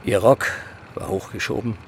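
An actor playing a grim police commissioner in a radio crime drama, with a gravelly voice and heavy, theatrical delivery. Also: male voice, fairly low pitch, normal speed, a loud crowd, natural voice. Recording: loud outdoor street and vehicle noise.

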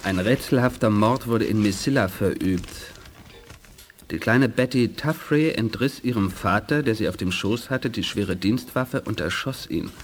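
An actor playing an earnest, inquisitive time-travelling investigator in a radio play, articulate and thoughtful. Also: male voice, lowish voice, measured pace, a little hiss or hum, lightly acted. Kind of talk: 1980s German radio play acting. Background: faint music.

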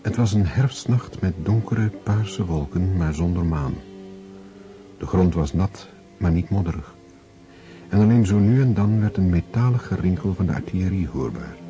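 A man's voice: deep voice, lively intonation, normal speed, over music. A man reading scripted literary narration as the narrator of a radio drama. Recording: dull lo-fi old radio recording.

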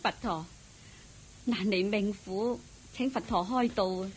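A woman's voice: mid-range voice, unhurried, slight background noise, natural voice, rough recording. An actress playing an anxious, respectful mother in a radio drama, pleading politely in Cantonese with a Hong Kong accent. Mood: pleading, grateful. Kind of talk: Buddhist radio drama acting.

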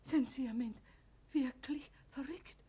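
An actress playing a frightened, naive wartime Viennese wife, anxious and hushed, in a radio play. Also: female voice, high-pitched, measured pace, slight background noise. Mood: bewildered, anxious.

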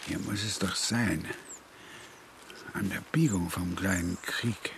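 A man's voice: deep voice, slow pace, noisy background. An actor playing a brooding, insistent man who talks in terse, earnest statements in a radio drama. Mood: grave.

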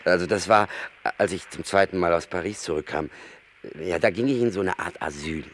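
An actor playing a boastful, world-weary wandering rat who tells tales in a musing, self-important manner in a radio play. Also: male voice, low voice, a little fast, fairly bright tone. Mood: reminiscing. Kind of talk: German radio play acting. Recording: noisy old radio drama recording.

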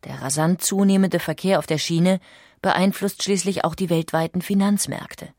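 A female narrator with a low voice delivers scripted documentary text. She is measured and articulate, in a very dry studio sound. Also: steady tone, a little mellow, no room sound.

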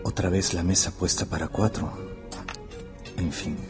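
Earnest low-voiced young historian. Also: male voice, steady tone, unhurried, over music. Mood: musing. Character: polite young male historian, anxious and self-assured. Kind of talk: radio drama acting.

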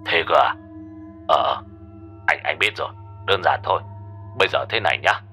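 A man voicing a coarse, joking middle-aged man with a gruff manner in a spoken story. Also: rumbling low, animated, moderate pace, pushed and bright, soft background music, pitched below the performer's own voice, heavily acted. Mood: brusque.

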